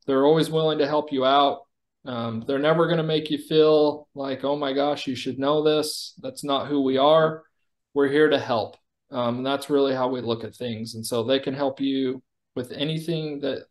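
A man's voice: medium pitch, steady tone, normal speed. A man giving a conversational product demo as a company executive, explaining things informally.

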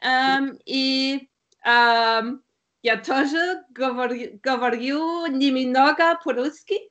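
A woman with a German accent and German as her first language, practicing conversation as a learner, halting, with many filler sounds and restarts, on a video call from home. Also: high-pitched, fairly bright tone, lo-fi sound.

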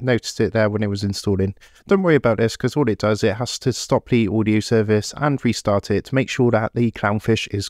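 A man presenting a software tutorial, walking through each step and often repeating "what you wanna do", talking into a desktop microphone. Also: lowish voice, no room sound, clean studio sound. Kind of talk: screen-recorded software tutorial. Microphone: desktop USB microphone.

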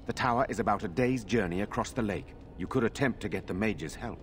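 Male actor as loyal nobleman, lowish pitch, grave, earnest, concerned, fantasy game, faint background noise. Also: animated, fairly quick, lightly acted.

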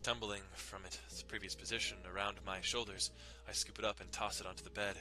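A man's voice: fairly low pitch, level tone, fairly quick, over music. A man giving a dramatic reading of a visual novel as its narrator. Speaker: dramatic reader.

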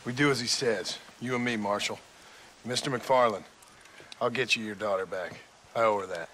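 An actor playing a stoic, determined gunslinger in a video game, terse and firm, with a Western American accent. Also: male voice, on the low side, normal speed, a bit bright, a little hiss or hum, natural voice. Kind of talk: video game cutscene acting.

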